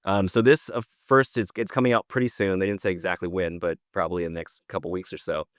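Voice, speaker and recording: Deep-voiced man, casual podcast explainer. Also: fairly even intonation, fast talker, fairly bright tone, no room sound, studio quality. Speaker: podcast co-host presenting news. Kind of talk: casual news discussion.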